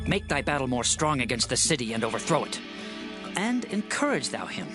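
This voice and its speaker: A man's voice: medium pitch, sing-song, a little fast, over music, close to an everyday voice. An actor playing a commanding, passionate ancient king in dramatized scripture, speaking in an impassioned, theatrical way. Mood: firm commanding. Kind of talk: dramatized scripture audio acting.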